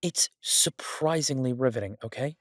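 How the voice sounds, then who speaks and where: A man performs a mafia boss cooped up and restless, doting on the one he talks to. His medium-pitched voice is recorded very dry, with no room around it. He speaks conversationally, with playful exasperation and a swearing gangster's swagger, as in an intimate audio roleplay. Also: moderate pace, a bit bright, dry recording, close to an everyday voice. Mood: enthusiastic. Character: male mafia boss, doting, restless, stuck baking in a safe house.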